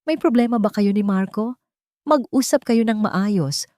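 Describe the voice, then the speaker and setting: A woman voicing a snide, gossiping middle-aged female neighbor with a shrill, scornful manner. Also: a little slow, mellow, dry recording, clean studio sound, lightly acted.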